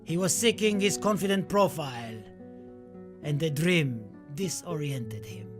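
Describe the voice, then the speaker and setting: Man acting a high-pitched, grieving, impassioned poet over music. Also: expressive, measured pace. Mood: anguished, impassioned. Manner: solemn, dramatic, swelling from hushed to declaimed. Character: Federico Garcia Lorca, impassioned, grieving Spanish poet. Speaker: actor in a one-man radio play. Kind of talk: theatrical radio play monologue and poetry recital.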